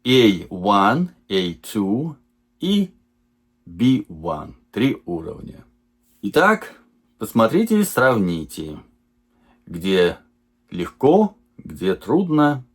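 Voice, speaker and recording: A man teaching English, reading simple example sentences slowly and repeating each one for learners. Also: on the low side, sing-song, slightly rough. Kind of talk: slow lesson reading example sentences twice.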